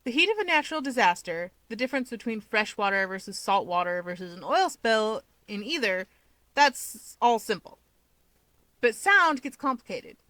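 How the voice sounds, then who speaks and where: A woman's voice: fairly high pitch, big pitch swings, normal speed, sharp tone, no room sound. A woman voicing an upbeat, self-assured young male hero with wings, explaining things chattily in an audio story. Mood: earnest, explaining. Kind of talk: fan fiction audiobook character dialogue.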